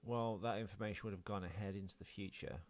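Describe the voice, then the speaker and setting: A British man with a fairly low voice co-hosts a casual film podcast. He talks in rambling, speculative explanations, thinking ideas through as he goes. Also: fairly even intonation, fast talker, soft background music. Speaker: podcast co-host.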